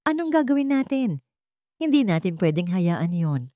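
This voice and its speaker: A woman's voice: medium pitch, big pitch swings, normal speed, warm tone, no echo, clean studio sound, close to an everyday voice. A woman voicing a nervous, two-faced female accomplice in a dramatic audio story. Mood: anxious. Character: nervous, deceitful female accomplice feigning remorse.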